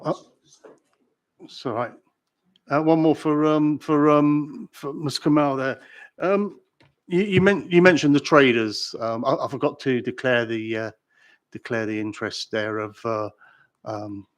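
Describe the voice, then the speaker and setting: Hesitant British councillor asking questions. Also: male voice, medium pitch, fairly even intonation. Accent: British English. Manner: halting, many fillers and restarts. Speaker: councillor on a planning committee. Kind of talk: formal meeting questions.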